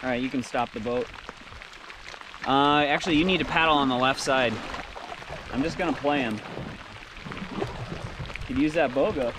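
A man's voice: medium pitch, moderate pace, long pauses, background noise. A young man chatting and explaining his tackle while fishing from a canoe on a lake, caught on an action camera. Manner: excited, explains tackle plainly. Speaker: angler in canoe. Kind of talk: casual outdoor chat. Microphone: action camera on boat.

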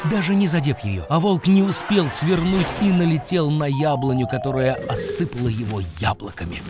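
A storyteller narrating a scripted fairy tale for children in an expressive, dramatic manner. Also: medium pitch. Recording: music behind the voice. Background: music.

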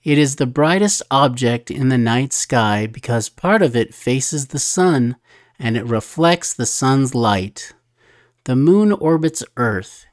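A storybook narrator with a mid-pitched voice reads aloud for children. The delivery is slow and measured, with each phrase set out clearly. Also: a little mellow. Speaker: storybook reader.

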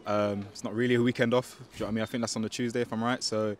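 Very deep, flat-voiced young footballer. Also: male voice, very fast. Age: young adult. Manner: hesitant, many fillers and restarts, keeps asking "do you know what I mean". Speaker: football player. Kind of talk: post-match interview. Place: outdoors at a football ground. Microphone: handheld microphone.

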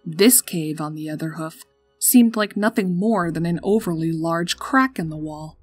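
A woman's voice: deep voice, moderate pace, breathy edge. A woman narrating a scripted story as its storyteller. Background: music.

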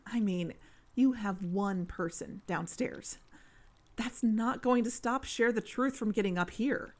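A woman's voice: mid-range voice, natural voice. Actress as doubting female investigator for audio drama, mid-range voice, blunt and matter-of-fact. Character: skeptical, frank female podcast investigator.

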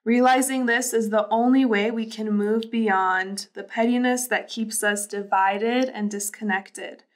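A young woman from Lithuania co-hosting a podcast, explaining things in a calm, measured way. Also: a little high. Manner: calm, measured, frequent "you know". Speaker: podcast co-host. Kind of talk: casual podcast chat. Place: small bright studio room, indoors. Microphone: desk microphone.

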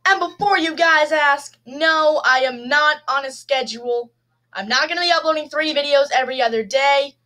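Reaction host, woman, high bright voice, chatty with dry one-word asides, desk mic at a computer. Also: normal speed, low-quality recording. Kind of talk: casual chat. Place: indoors at a computer.

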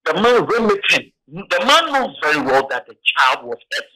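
A man from Ghana, calling in to a radio show by phone and telling his story haltingly, with restarts. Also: fairly high pitch, very animated, sharp tone, low-quality recording. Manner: heavy, halting account with restarts. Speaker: caller.

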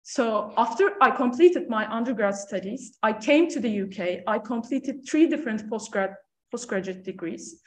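A woman, her voice sitting a little high. She talks in a measured, earnest way, leaning on "so" and "uh" between points. The sound carries the echo of a lecture room. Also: lively intonation. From Turkey. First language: Turkish. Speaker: panel speaker. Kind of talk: prepared talk at a panel event. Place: lecture room on stage, seated panel.

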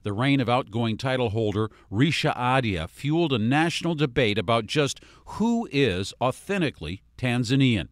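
A man presenting a television news feature in a measured, formal delivery. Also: medium pitch, expressive, no room sound, clean studio sound. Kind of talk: scripted news narration.

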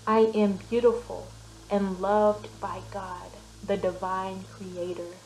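A woman guiding a meditation with affirmations, soothing and deliberate, pausing between short phrases. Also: medium pitch, level tone, a little mellow. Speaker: meditation guide and business coach. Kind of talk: guided meditation with spoken affirmations. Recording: roomy, echoing voice recording. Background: background music.

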